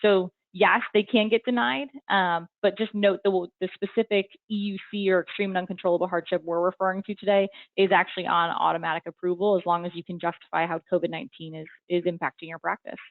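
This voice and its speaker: A young woman talks through a webinar in a friendly, loose way, full of ums and you-knows. Her voice has a gravelly fry to it. The sound is dry and thin, like a phone line. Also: fairly low pitch, level tone, fast talker, hardly any pauses, no echo. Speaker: webinar presenter and consultant. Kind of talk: casual webinar explanation. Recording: tinny phone-like webinar audio.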